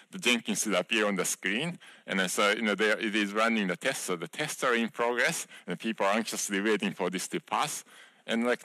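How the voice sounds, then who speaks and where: Low-voiced man giving a conference keynote. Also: bright, forceful tone, no echo, rough recording. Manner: conversational with many fillers and restarts. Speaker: keynote speaker, software project creator. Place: large conference hall stage.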